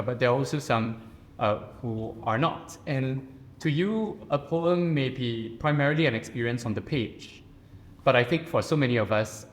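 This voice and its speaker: A poet from Singapore with a Singaporean accent, telling a personal story in a measured, earnest way on a panel. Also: male voice, medium pitch. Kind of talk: personal reflective talk. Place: reception room at a residence.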